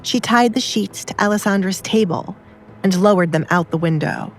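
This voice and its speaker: A woman's voice: mid-range voice, normal speed. A woman narrating an audiobook drama in a scripted storytelling style.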